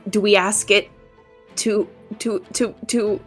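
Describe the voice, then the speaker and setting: A woman playing a tabletop roleplaying game, improvising her character's actions in a playful, halting way. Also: medium pitch, steady tone, breathy edge, faint music behind.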